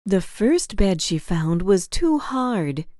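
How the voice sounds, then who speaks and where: Slow, animated woman telling fairy tales. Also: mid-range voice, no room sound, studio quality. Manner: expressive, deliberate storytelling. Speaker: storyteller. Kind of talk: scripted fairy-tale narration for children.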